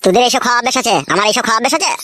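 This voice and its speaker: Man doing a cheeky, quarrelsome cartoon husband, high-voiced. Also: racing, bright, forceful tone, no room sound. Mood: angry, mocking. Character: cheeky, foul-mouthed young husband, mocking and quick to quarrel. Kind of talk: comic cartoon dubbing in Bengali. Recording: noisy home-made dubbing.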